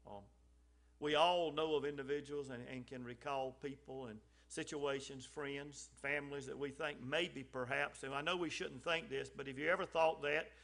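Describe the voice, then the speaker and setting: Bright-voiced man giving a church talk. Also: mid-range voice, fairly even intonation, moderate pace. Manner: folksy and conversational, with frequent ums and restarts. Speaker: lay speaker at a church service. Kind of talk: informal church message.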